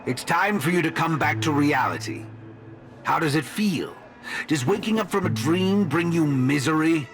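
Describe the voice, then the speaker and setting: An actor playing a pompous, smug villainous teacher in a video game, lecturing in a theatrical, philosophical way. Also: male voice, fairly high pitch, expressive, normal speed. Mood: smug, probing. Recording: game music under the voice.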